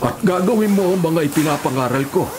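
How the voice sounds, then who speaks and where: A man's voice: fairly high pitch, expressive, fairly quick, background noise, lightly acted. An actor playing an elderly, pious male prisoner who preaches earnestly and meekly in a radio drama. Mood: humble, earnest. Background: music.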